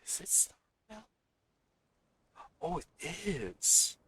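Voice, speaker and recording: A man's voice: a little high, big pitch swings, takes it slowly, pushed and bright, breathy edge. A man giving casual live game commentary, muttering and thinking aloud as he plays. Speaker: game streamer.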